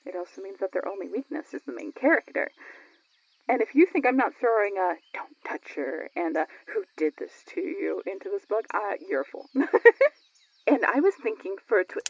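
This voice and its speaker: A slightly muffled recording of a woman acting as a chatty young female writer in an audio roleplay. Her voice is husky and full of fry, and she talks casually with a playful streak. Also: mid-range voice, animated, normal speed, dry recording. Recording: dull, lo-fi sound.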